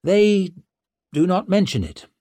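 A man voicing a composed, diplomatic older noblewoman in an audiobook, measured and formal. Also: high-pitched, big pitch swings, warm tone, dry recording, pitched above the performer's own voice, heavily acted.

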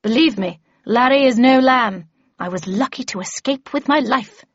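A woman voicing a brisk, imperious, battle-hardened older female mentor in an audiobook, with clipped, commanding, matter-of-fact delivery. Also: fairly high pitch, no echo, lo-fi sound, pitched above the performer's own voice, natural voice. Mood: grave. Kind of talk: audiobook character voice.

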